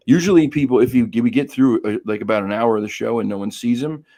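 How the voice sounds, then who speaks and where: A hoarse man hosting a casual live stream, chatty and greeting viewers by name. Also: mid-range voice, quick pace, warm tone. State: hoarse, losing his voice.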